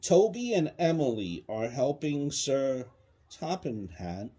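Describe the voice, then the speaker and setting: A man's voice: medium pitch, big pitch swings, takes it slowly, mellow, husky. A man reading a children's counting book aloud to a child, patiently prompting with questions. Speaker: parent reading a bedtime story to a child. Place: small child's bedroom, indoors.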